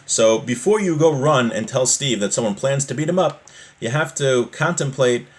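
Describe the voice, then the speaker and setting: A man with a medium-pitched voice teaches an informal class on religious law. He is plain-spoken and explanatory, working through a text in simple everyday terms. He restates his points so they are easy to follow. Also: expressive, moderate pace.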